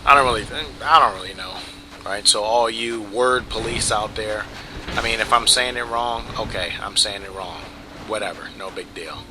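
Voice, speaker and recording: A man giving relaxed, rambling commentary over his gameplay, full of "you know" and "right?" fillers. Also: on the low side, normal speed, background noise. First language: English.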